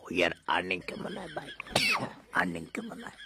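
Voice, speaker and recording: A very deep male voice with a lilt that swings widely up and down. He rambles through a comic prank call, repeating himself and drawing out some lines. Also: huge pitch swings, normal speed, a crowd in the background. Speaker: prank caller.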